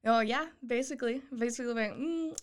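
A woman's voice: fairly high pitch, a little fast. A woman hosting a casual local interview show in a chatty, conversational way. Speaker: host.

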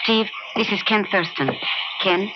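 An actress playing a grave, dignified widow in a 1950s radio drama, with earnest, formal phrasing. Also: female voice, medium pitch, a little slow, natural voice. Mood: polite, composed. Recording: muffled, noisy old radio recording.

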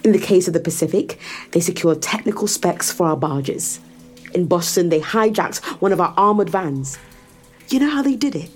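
A woman's voice: fairly low pitch, expressive, moderate pace, faint music behind, natural voice. An actress playing a cold, menacing woman interrogator in a science fiction audio drama, controlled and taunting as she lays out her case. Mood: accusing, controlled. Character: cold, menacing woman interrogator in a near-apocalypse sci-fi world.

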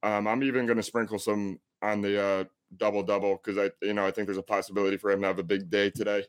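A man with a deep voice giving NBA player picks, hesitant with many uhs and ums. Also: level tone, fast, clean tone. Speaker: sports betting analyst.

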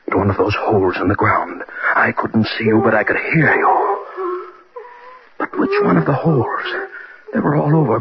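A man's voice: mid-range voice, lightly acted. A man acting an arrogant middle-aged husband in a theatrical old-time radio drama, domineering and intense. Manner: domineering, theatrical, clipped phrasing. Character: arrogant, scornful husband unravelling into terror. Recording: muffled old radio recording, crowd-like noise.

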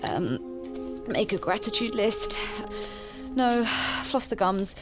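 A woman's voice: medium pitch, lively intonation, slow, over loud music. A woman playing an anxious, rule-bound young woman in an audio drama, fretful, hesitant and stammering. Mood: queasy, fretful. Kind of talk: naturalistic audio drama acting.

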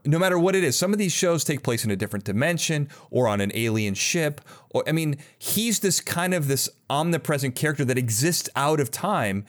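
A man hosting a podcast, talking in a casual, enthusiastic and chatty interview style. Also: on the high side. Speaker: podcast host interviewing a guest.

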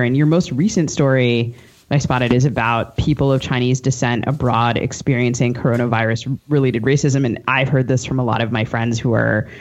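Mid-pitched man interviewing, slightly dull audio. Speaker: podcast co-host interviewer. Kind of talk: conversational interview questions.

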